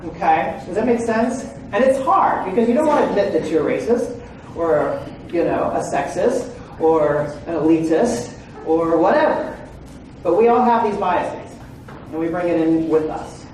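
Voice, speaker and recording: A man's voice: high-pitched, expressive, normal speed, a little mellow, slight background noise. Man lecturing in an echoey hall. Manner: frequent "right?" and "okay?" check-ins with listeners. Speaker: presenter at a legal training seminar. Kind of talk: conversational lecture to an audience. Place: large room with an audience. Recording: echoey room, far from the mic.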